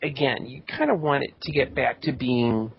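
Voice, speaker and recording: A man's voice: medium pitch, normal speed, creaky, slightly rough. A man co-hosting a podcast, giving blunt, sarcastic opinions in casual chat. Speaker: podcast co-host. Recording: dull lo-fi podcast audio.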